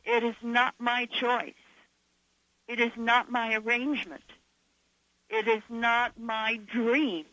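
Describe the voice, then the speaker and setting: A woman from southern Idaho with a hoarse voice, speaking fervently and emphatically as an author guest in a radio interview. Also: fairly high pitch, fairly even intonation, slow, long pauses, bright, forceful tone, no echo, muffled recording. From southern Idaho, USA. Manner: fervent, emphatic, laughs often. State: hoarse from coughing.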